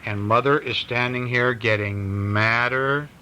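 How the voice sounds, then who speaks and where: A man reading a bedtime story at home in a conversational, expressive way, with casual asides to a child. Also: measured pace. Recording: noisy home recording with low rumble. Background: small child chiming in.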